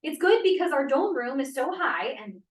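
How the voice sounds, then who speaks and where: Actress as a high-voiced young woman inventor, echoey. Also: sing-song, moderate pace. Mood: eager, proud. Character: clever, defensive young woman inventor, 1950s scientist. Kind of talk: 1950s period drama read at a table read. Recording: echoey room, distant microphone.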